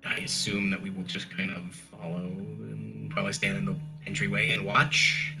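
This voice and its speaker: A man's voice: fairly low pitch, flat delivery, normal speed, gravelly. A man talking casually as a player in a tabletop game. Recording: background music behind voice. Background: music.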